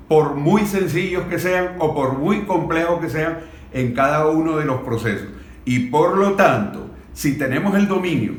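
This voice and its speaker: A man with a Venezuelan accent making an earnest argument in a discussion, building emphatic, repetitive lists. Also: a little high. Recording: echoey room.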